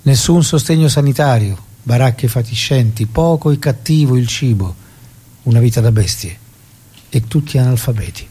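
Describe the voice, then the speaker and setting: An actor playing the solemn narrator of a revolutionary's life, in dramatic scripted style. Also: male voice, medium pitch, moderate pace, warm tone, slightly rough.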